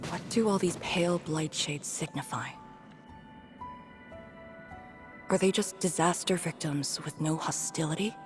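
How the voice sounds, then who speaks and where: An actress playing a haunted, wistful young woman in a video game, speaking softly and hesitantly in a breathy near-whisper. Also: sits low, natural voice. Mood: somber. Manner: slow, hesitant, pensive. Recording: music behind the voice. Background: background music.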